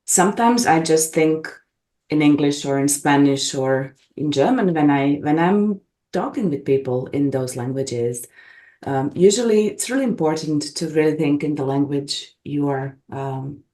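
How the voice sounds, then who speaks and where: A middle-aged woman from Bosnia and Herzegovina, a gentle, reflective guest speaking English as an over a video call. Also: low-pitched, expressive, measured pace. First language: Bosnian and Croatian. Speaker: regression facilitator, guest. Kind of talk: casual interview chat. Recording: echoey room.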